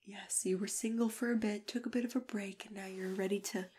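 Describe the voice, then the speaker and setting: A woman playing a kindly, attentive matchmaker in a soft, gentle ASMR roleplay, often dropping into whispers as she talks unhurriedly to a client. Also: mid-range voice, steady tone, brisk, breathy edge, lightly acted. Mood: soothing, welcoming. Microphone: close ASMR microphone.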